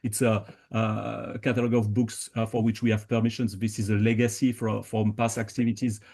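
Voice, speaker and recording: A man answering audience questions at a conference panel, explaining in a measured way with frequent pauses and fillers. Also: on the low side, level tone, vocal fry, mellow, husky.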